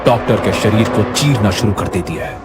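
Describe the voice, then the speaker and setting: A man with a low voice narrates a scripted horror story. Music plays clearly behind his storytelling. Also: normal speed.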